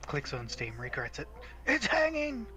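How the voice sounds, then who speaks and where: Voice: medium pitch, wildly swooping pitch, measured pace. A giggly, playful game streamer who switches between casual chat and dramatic read-aloud narration, with game music behind. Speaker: streamer reading a visual novel.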